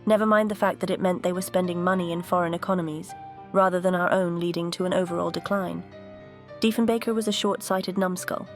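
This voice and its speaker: A woman voicing a composed vintage aircraft character, telling her story in a measured, earnest cartoon dialogue style. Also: on the low side, steady tone, faint music behind, lightly acted. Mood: bitter. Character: composed, bitter but grateful female vintage jet museum exhibit.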